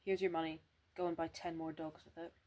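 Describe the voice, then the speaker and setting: A woman voicing a curt, domineering wealthy man, reading his lines flatly and decisively in an amateur novel reading. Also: low voice, brisk, natural voice. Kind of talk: amateur read-aloud of a novel.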